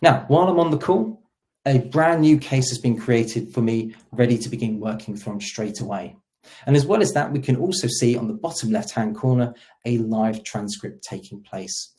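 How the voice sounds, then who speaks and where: A man's voice: medium pitch, moderate pace, darkish tone. A man hosting a webinar, presenting a product demo in an explanatory way and stressing the starts of his sentences. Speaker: webinar host; solution engineer.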